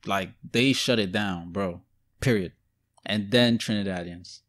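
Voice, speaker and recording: A young man co-hosting a podcast, talking bluntly in animated street slang. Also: lowish voice, unhurried, no echo. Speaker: podcast co-host. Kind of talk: casual chat.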